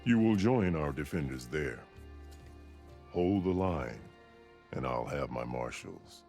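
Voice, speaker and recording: An extremely low-voiced war chief, speaks with solemn authority and ceremony. It is video game drama, with quiet music behind him. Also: male voice, lively intonation, takes it slowly, full character voice. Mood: solemn, commanding. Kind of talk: video game cutscene acting.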